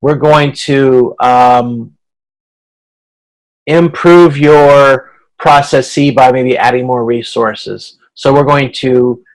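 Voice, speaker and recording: A man with a medium-pitched voice gives an informal online lecture. He explains in a halting way, often restarting a phrase or repeating words before carrying on. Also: steady tone, low-quality recording. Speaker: instructor. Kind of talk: informal explanatory lecture over video conference.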